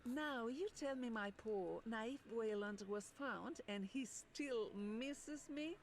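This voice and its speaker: A woman playing a dangerous, cruel swan shapeshifter in a video game, speaking with slow, taunting scorn. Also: on the high side, slight background noise. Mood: gloating, mocking. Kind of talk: dramatic video game voice acting.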